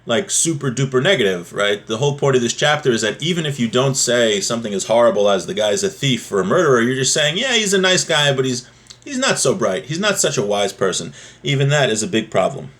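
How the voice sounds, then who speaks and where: A man's voice: medium pitch, expressive, a little fast, a bit bright. A man giving an informal religious lesson, explaining a text in a conversational way and often checking in with the listener. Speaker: religious teacher.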